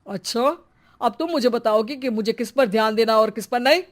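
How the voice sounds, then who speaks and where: A man's voice: very high-pitched, brisk. A man voicing a harsh, belittling middle-aged husband and father in a cartoon story, scolding and domineering. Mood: angry.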